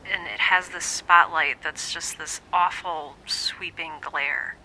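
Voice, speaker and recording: An actress playing a lonely, wry young space scientist in an audio drama, confiding hesitantly and often dropping to a near-whisper over a log recording. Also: female voice, lowish voice, steady tone, takes it slowly, pushed and bright, a little hiss or hum, heavily acted, rough recording. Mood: pensive, uneasy. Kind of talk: scripted audio drama monologue, intimate dream-log recording.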